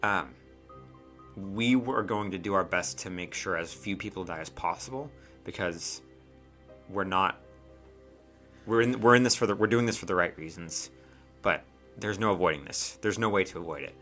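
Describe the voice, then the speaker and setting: A man playing a grave, resolute leader of dragon riders in a tabletop game. His voice is lowish and clear-edged, his delivery measured, earnest and firm, with music underneath. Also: fairly bright tone.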